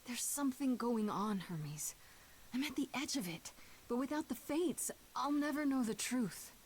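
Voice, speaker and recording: An actress playing a determined, blunt young mortal heroine in a video game, earnest and questioning. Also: female voice, fairly high pitch, lively intonation, measured pace, darkish tone, a little hiss or hum. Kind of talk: dramatic video game voice acting. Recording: music under the voice.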